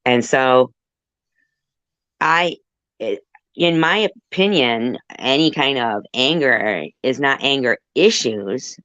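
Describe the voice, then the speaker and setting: A woman hosting a casual livestream conversation, talking in a halting, roundabout way. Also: a bass voice, lively intonation, fairly bright tone, dry recording, highs cut off. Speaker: livestream host.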